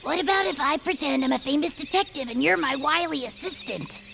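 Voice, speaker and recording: High, creaky young winged creature. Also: female voice, a little fast, a bit bright, a little hiss or hum. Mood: bored, playful. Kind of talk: audio fiction acting.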